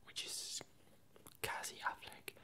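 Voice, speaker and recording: A woman whispering a casual ASMR chat in a breathy, halting way with long pauses. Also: sharp tone. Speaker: ASMR video creator.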